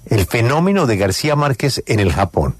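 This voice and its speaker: A man's voice: mid-range voice, sing-song, fairly quick, husky, no room sound. A man hosting a live radio interview, speaking emphatically and repeating key phrases.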